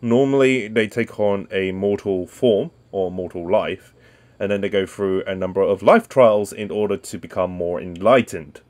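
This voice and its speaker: A man's voice: on the low side, moderate pace, a little mellow. A man casually commentating while playing a game, rambling and chatty with lots of ums and asides. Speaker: gaming video host. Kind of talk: casual let's-play commentary.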